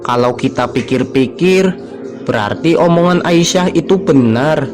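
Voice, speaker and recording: A man's voice: fairly high pitch, expressive, unhurried. A man voicing a level-headed young father in a cartoon who reassures his worried wife. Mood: concerned. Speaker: father of a sick child. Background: background music.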